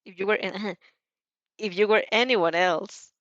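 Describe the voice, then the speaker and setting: A woman's voice: mid-range voice, unhurried, fairly bright tone, no echo, muffled recording. A woman reading fan fiction aloud expressively, giggly and casual, stumbling over words and rereading. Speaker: fan fiction reader and reactor.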